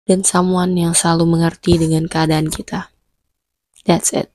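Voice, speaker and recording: A young woman with a stuffy, blocked-up nose talks through friendly advice in a relaxed vlog. Her voice sits a little low and mellow. The sound is dry and close, with no room around it. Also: normal speed, dry recording. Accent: Indonesian. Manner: chatty, mixes English phrases, playful scolding. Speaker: vlogger.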